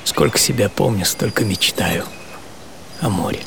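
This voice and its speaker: A man acting a hardy, serene seventy-year-old sailor in an audio play monologue, reflective and intimate, with savoring pauses. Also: fairly low pitch, animated, vocal fry, noisy background. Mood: wistful, earnest. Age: 70s. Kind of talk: first-person dramatic monologue in an audio play. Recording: music behind the voice.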